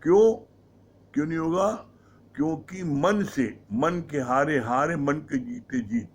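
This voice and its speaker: A man giving a devotional discourse on scripture. He explains slowly and keeps asking rhetorical questions, with English words mixed into his Hindi. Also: fairly high pitch. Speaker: satsang speaker.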